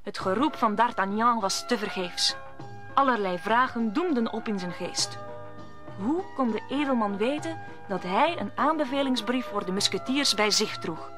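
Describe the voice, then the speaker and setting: A woman's voice: on the high side, expressive, normal speed, over music. A woman narrating a scripted radio play as a storyteller.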